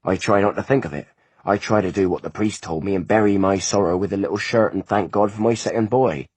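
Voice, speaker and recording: A man's voice: fairly low pitch, fairly quick, a little mellow, breathy edge, no echo, voice pushed up. A man voicing a grieving peasant mother. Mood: weary. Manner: pleading, sorrowful.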